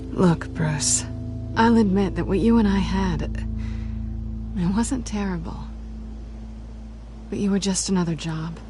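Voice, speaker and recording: A woman's voice: mid-range voice, takes it slowly, long pauses, lightly acted. A woman playing a sly, cynical cat burglar in a dramatic video game, teasing and coolly dismissive. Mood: defensive, cold. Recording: background music under the voice.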